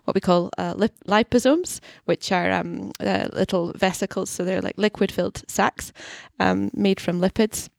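Podcast guest: Scottish woman, thirties, gravelly, relaxed filler-heavy chat. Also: medium pitch, no room sound, studio quality. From Scotland. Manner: chatty, many ums and uhs, laughs easily. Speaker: guest scientist. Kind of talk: podcast interview. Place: studio.

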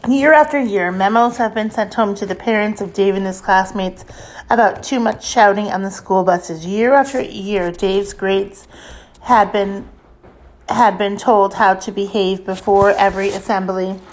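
A woman reading a children's book aloud as scripted narration, leaning deliberately on key words and lists. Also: medium pitch, poor audio.

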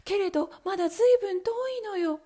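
A woman's voice: very high voice, fast talker, warm tone, pitched above the performer's own voice. A woman voicing a young sister who calls down answers in a lightly raised voice. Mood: calling. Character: calm older sister keeping watch.

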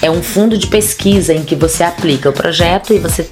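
A woman's voice: lowish voice, over music. A Brazilian woman in her thirties with a Brazilian Portuguese accent, chatting animatedly as a podcast guest. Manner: animated, fast bursts of speech. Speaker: podcast guest, theater artist. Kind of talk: casual conversation.

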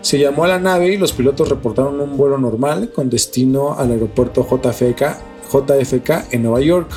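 A man's voice: mid-range voice, normal speed, mellow. A man with a Mexican accent co-hosting a news podcast, mixing news reading with casual, sweary slang. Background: background music.

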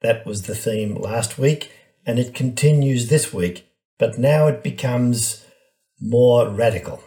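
A man's voice: medium pitch, slow, warm tone. A man, a priest, giving a reflective homily on a scripture text.

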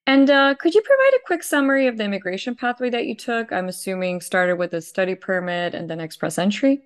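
A woman's voice: medium pitch, very animated, brisk, clean tone. A woman hosting an interview podcast, asking questions in a friendly, upbeat way. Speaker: podcast host.

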